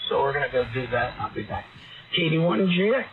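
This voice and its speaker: A man's voice: mid-range voice, animated, fast. A man chatting casually as an amateur radio operator over a ham radio transmission. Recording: narrow phone-like radio audio, crowd-like babble behind.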